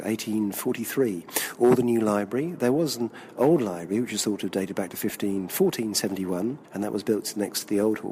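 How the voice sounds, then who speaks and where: Chatty male tour guide, lowish voice. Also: a little hiss or hum. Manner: chatty, digressive, fond of dates and trivia. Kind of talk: casual on-location guide talk. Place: outdoors walking through London streets and courtyards.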